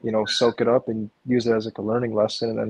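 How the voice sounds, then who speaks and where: A man whose first language is Russian, talking in a measured, practical way in casual chat, with a few ums. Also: fairly low pitch, little pitch movement, a little mellow, dry recording, low-quality recording.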